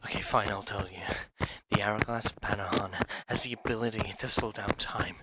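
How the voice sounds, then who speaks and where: A man's voice: lowish voice, fairly even intonation, moderate pace, very noisy, lightly acted. A man playing an eager, obsessive young thief in an ASMR roleplay, speaking in an urgent, stammering, clingy way. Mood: explaining, reluctant.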